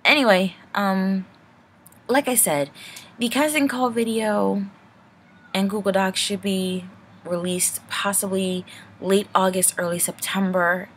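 A woman's voice: mid-range voice, fairly even intonation, slow pace, a little hiss or hum. A young woman giving a casual, rambling channel update in a hesitant, apologetic, nervous way. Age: young adult.

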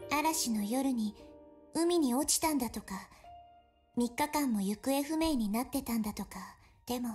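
Woman voicing a lonely anime game girl, highish soft voice, earnest n hesitant, music under it. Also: natural voice. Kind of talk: Japanese visual novel voice acting.

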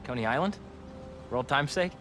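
A man's voice: medium pitch, expressive, fairly quick, close to an everyday voice. A man playing an upbeat young American in a video game, a loyal old friend who speaks casually and naturally. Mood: sincere, warm. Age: young adult. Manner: casual, conversational. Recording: music behind dialogue.

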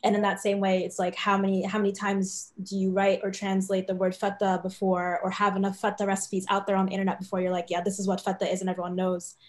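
A woman's voice: medium pitch, completely flat delivery, fast talker, hardly any pauses. A woman moderating a panel over a video call, talking chattily with many fillers.

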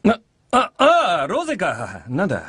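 A man playing a roguish, self-important older beast hunter and mentor in a Japanese radio drama, teasing and rough in his speech. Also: high voice, huge pitch swings, measured pace, bright, forceful tone, no echo, heavily acted. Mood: flustered.

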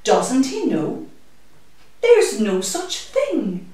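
A woman reads a children's picture book aloud, very slowly and with lively rises and falls. Her delivery is expressive and sing-song. She sounds distant in a very echoey room. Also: on the high side. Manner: deliberate, sing-song rhythm for young children. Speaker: children's story-time reader.

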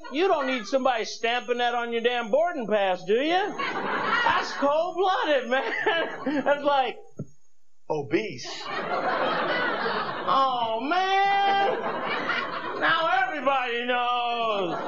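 An American man performs a stand-up routine in a very high-pitched voice. He is animated and exclaims as he acts out his bits. The sound is a dull, noisy old TV tape, with a club audience clearly audible behind him. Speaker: stand-up comedian. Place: comedy club stage with audience. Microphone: handheld microphone. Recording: muffled VHS TV recording, noisy audience. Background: audience noise and laughter.

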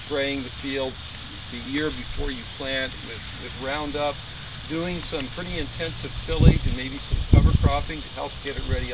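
A middle-aged man with a Midwestern American accent, a university weed scientist giving a practical field-day talk outdoors to a standing group, explaining plainly and unhurriedly with small restarts. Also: mid-range voice. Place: outdoors at a fruit farm, before a standing group. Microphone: camera several feet away. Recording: slightly dull outdoor camera audio with clear background noise.

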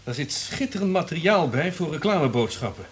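An actor in a Dutch radio play playing a smug, calculating, cynical radio network executive. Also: male voice, fairly high pitch, big pitch swings, brisk. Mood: cynical, pleased. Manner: self-satisfied, calculating.

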